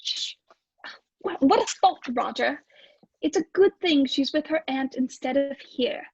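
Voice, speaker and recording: Woman acting a high-voiced, fretful London landlady. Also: expressive, unhurried, no echo, dull audio. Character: anxious, fretful London landlady and wife, old radio mystery. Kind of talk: radio play acting.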